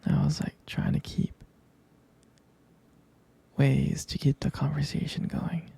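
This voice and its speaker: A man playing a devoted, smitten boyfriend in an intimate ASMR roleplay, speaking in a breathy, husky whisper, hesitant and tenderly repeating his phrases. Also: fairly high pitch, monotone, takes it slowly, dark tone, full character voice. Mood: tender, wistful.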